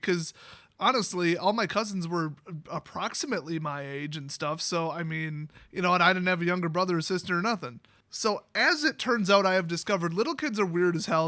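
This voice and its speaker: High-pitched, bright American man rambling casually. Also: no room sound, studio quality. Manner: animated, exaggerating, quotes people in acted-out voices. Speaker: YouTube vlogger. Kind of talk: casual rambling personal storytelling.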